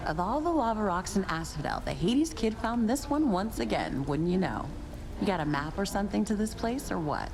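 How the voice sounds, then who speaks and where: A woman's voice: mid-range voice, sing-song, moderate pace, creaky, over loud music, low-quality recording. An actress playing a sassy, blunt, streetwise woman in a video game, casual and wry. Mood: wry, teasing. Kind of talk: video game character acting.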